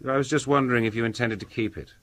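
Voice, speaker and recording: An actor playing a rational, composed scholarly gentleman in a radio drama, speaking politely and formally. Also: mid-range voice, fairly even intonation, racing, slight background noise, natural voice. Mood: polite, firm.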